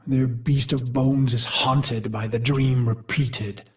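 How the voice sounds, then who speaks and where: Slow, brooding warrior machine played by a man. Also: mid-range voice, steady tone, natural voice. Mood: grim, resolute. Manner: grave, theatrical, deliberate phrasing. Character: brooding male warrior machine mind recalling his brutal past. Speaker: game character narrator. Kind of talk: dramatic scripted game monologue.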